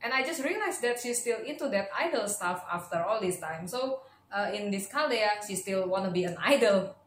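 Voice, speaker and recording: Slow-talking giggly young woman. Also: mid-range voice, lively intonation, a little hiss or hum. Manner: giggly, chatty fan talk. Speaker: reaction channel host. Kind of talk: casual reaction commentary. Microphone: studio mic on a stand. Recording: roomy sound with music behind.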